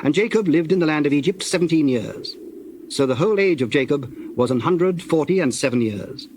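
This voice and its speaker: A man narrating scripture from a script in a measured, solemn storytelling manner. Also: fairly high pitch, expressive, a little mellow, a little hiss or hum.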